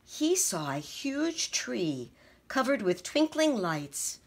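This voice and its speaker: Slow, expressive woman reading a picture book. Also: a little high, breathy edge. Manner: expressive, gentle storytelling. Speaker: teacher reading aloud. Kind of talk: children's picture book read-aloud.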